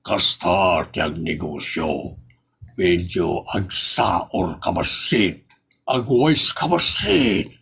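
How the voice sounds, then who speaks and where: Man acting a slow, husky older boss. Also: sits low, expressive, a bit bright, heavily acted. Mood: crafty. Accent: Ilocano. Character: wily older male eatery owner, money-minded boss. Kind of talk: Ilocano comedy audio drama acting.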